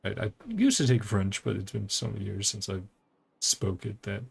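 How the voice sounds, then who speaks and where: A man's voice: lowish voice, very animated, normal speed, creaky, breathy edge. A man streaming a video game, giving casual, rambling, laid-back commentary as he plays.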